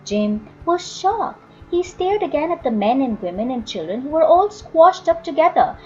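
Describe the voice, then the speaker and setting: A woman reading a story aloud as an expressive audiobook narrator. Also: high-pitched, moderate pace, lo-fi sound, soft background music.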